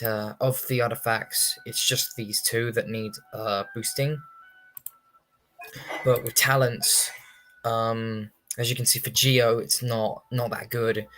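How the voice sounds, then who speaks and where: A man's voice: medium pitch, fairly bright tone. A young man giving casual, rambling, hesitant commentary on a game livestream. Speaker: game streamer. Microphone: streaming microphone, also picking up game audio. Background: faint game music.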